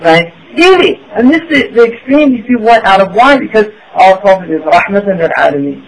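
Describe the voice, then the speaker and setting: Man, high voice, religious teacher, lecture, rhetorical questions. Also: animated, brisk, low-quality recording. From United States. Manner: rhetorical questions, frequent "right?". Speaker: Islamic teacher. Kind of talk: lecture to an audience.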